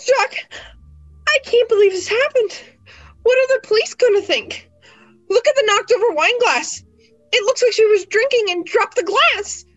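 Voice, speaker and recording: A woman's voice: extremely high pitch, moderate pace, lo-fi sound, soft background music. A woman playing an anxious, scheming young nightclub worker in an amateur radio play, hesitant and melodramatic. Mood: panicked.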